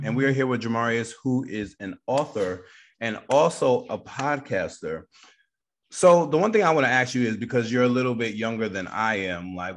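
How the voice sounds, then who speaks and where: A man's voice: medium pitch, a bit bright. Radio interviewer, male, American accent, mid-range voice, upbeat and conversational.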